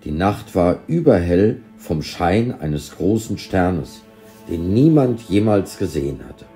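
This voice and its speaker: Brief: a man playing an awed old shepherd grandfather for a storybook, low, solemn and earnest. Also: animated, takes it slowly, mellow, faint music behind, full character voice. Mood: earnest awe.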